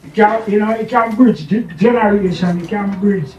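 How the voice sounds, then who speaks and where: A man with a Jamaican accent casually introducing himself to an audience, halting and repeating words as he goes. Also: sits high, mellow. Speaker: DJ and internet radio show host. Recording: dull, echoey, distant room microphone.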